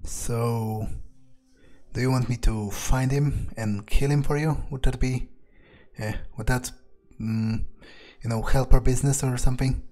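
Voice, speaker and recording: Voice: medium pitch, fairly even intonation, measured pace, dark tone. Casual, muttering roleplay gamer over music. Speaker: gameplay streamer playing in character. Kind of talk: in-character roleplay commentary. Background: game music.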